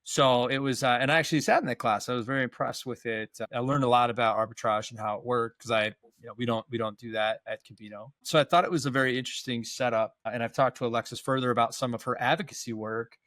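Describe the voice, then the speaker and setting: A man's voice: medium pitch, steady tone, fast, few pauses, a bit bright, no room sound. A man in his fifties hosting a podcast interview over a video call, chatty and rambling, often restarting his sentences. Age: 50s. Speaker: podcast host. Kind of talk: casual interview chat.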